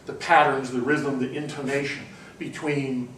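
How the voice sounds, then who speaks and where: A man's voice: mid-range voice, level tone, normal speed, a bit bright. A man holds forth on ideas in front of a seminar class, thinking aloud, with ums and self-corrections. His voice sits in the middle range and carries faint room hiss. He is heard from a distance in a reverberant classroom. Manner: discursive, with frequent ums and restarts. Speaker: university lecturer. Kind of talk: classroom lecture. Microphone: far room recording. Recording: echoey classroom from far off.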